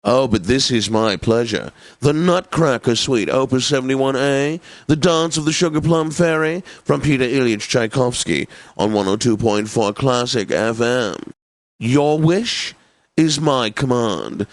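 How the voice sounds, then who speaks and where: An actor playing a pompous, genteel classical radio DJ in a game, announcing with theatrical, drawn-out phrasing. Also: male voice, mid-range voice, dry recording, close to an everyday voice. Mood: obliging, grandiose.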